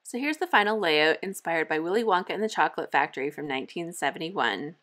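A woman's voice: low voice, animated, fast, fairly bright tone. A middle-aged woman casually narrating her scrapbooking process as a video host, chatty and self-amused. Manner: chatty, self-amused, with frequent light laughs. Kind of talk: casual crafting voice-over narration.

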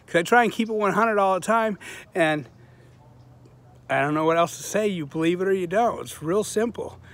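A man's voice: high voice, moderate pace, a bit bright. A man in his forties talking casually to his viewers in a loose, rambling, earnest way with plenty of swearing, recorded outdoors on a phone held at arm's length. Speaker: vlogger, channel host. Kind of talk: casual selfie vlog to viewers. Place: outdoors on a sunny suburban street.

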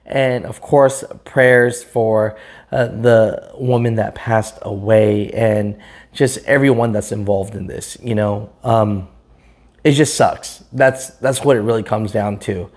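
A man's voice: lowish voice, fairly even intonation, darkish tone. A man in his thirties hosting a trucking channel, talking earnestly and casually straight to camera indoors. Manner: slow, earnest, talks straight to camera with hand gestures. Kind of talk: casual vlog commentary. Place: indoors in front of a green screen.

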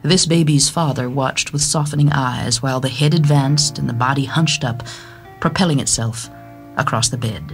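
A woman's voice: a bass voice, moderate pace. A woman giving a dramatic scripted reading of a short story. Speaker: story narrator. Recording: music bed behind the voice.

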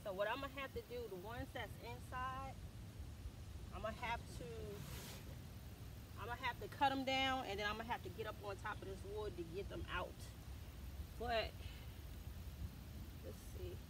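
A woman's voice: on the high side, moderate pace, long pauses. Folksy woman gardening outdoors. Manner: folksy, addresses viewers as y'all, Southern US dialect. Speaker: homestead vlogger. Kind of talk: casual chat to camera while gardening. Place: backyard garden outdoors in summer. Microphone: camera on tripod at a distance. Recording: outdoor camera audio with loud background noise.

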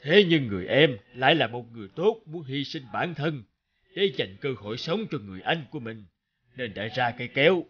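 A man playing a huge horned demon lord in an animated folk tale, commanding, deliberate and threatening. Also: mid-range voice, highs cut off, natural voice. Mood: scornful, pronouncing judgment.

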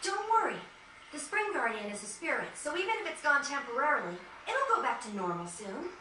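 A confident young fighter girl from a video game, with a high, animated voice. She is brisk and feisty. The recording is echoey and distant. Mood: confident, casual. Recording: roomy, distant, noisy screen capture.

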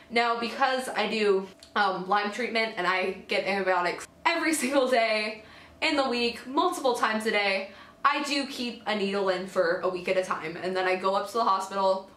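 A teenage girl vlogging casually to camera at home, a little hoarse from a cold, chatty and full of fillers and self-corrections. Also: mid-range voice, fairly bright tone, echoey room. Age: teens. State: has a cold, slightly hoarse. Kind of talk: casual talking-head vlog. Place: small indoor room at home. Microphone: camera at close range.

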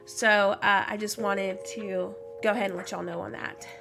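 A woman's voice: mid-range voice, flat delivery, moderate pace, creaky. Stuffy sick woman in her 30s streaming from home, talks casually to chat, music playing. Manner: chatty, greets viewers by name. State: stuffy from a cold. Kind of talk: casual livestream chat while gaming. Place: home room, indoors.

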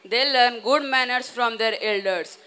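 A man's voice: very high voice, unhurried, bright, forceful tone, clear, resonant tone. A teenage boy with an Indian English accent, reciting his part in a rehearsed school panel discussion on a handheld mic on an outdoor stage. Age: teens. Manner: recited, declamatory delivery. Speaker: student panelist.

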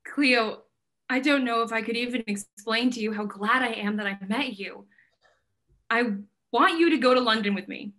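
An eager, smitten gallery owner acted by a young woman in a stage play reading. She has a fairly high voice and talks in a casual, candid, gushing way. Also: normal speed, pushed and bright. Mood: gushing, adoring. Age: young adult. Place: indoor room at home, video call.

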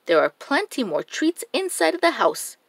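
A woman's voice: high voice, sing-song, a little slow, gravelly, no echo, pitched above the performer's own voice, exaggerated voice. A woman voicing a wicked old storybook witch who passes herself off as a kindly old lady. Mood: coaxing.

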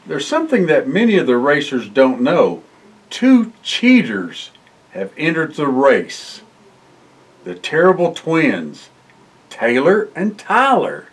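An older man reading a children's picture book aloud in an expressive way, with exclamations and short asides. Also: on the high side, slow. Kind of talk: storybook read-aloud for children. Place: indoor library room, seated by bookshelves.

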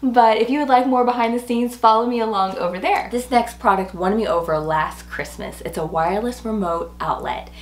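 Hoarse, upbeat woman vlogger. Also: on the high side, fairly quick, few pauses. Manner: chatty, upbeat, enthusiastic. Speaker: home decor vlogger. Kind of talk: casual product review to viewers. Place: small furnished rooms at home, indoors. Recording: roomy echo.

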